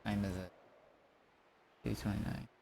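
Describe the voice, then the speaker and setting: A tired man chatting casually as he streams a roleplay game, rambling and halting, often trailing off. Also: lowish voice, level tone, creaky, heavy background noise. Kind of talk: casual chat during gameplay.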